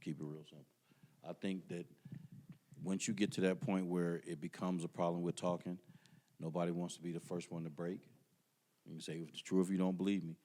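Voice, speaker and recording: A middle-aged man with a fairly low voice makes his point emphatically. He repeats words when others cut in and holds the floor in casual podcast talk. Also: dark tone. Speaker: podcast guest. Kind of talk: casual debate.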